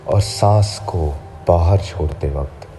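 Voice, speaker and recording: Very deep, slow hypnotic male voice. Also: a little hiss or hum. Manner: slow, soft, soothing guidance. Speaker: hypnotherapist guiding a sleep session. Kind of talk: guided sleep hypnosis. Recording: music playing behind the voice.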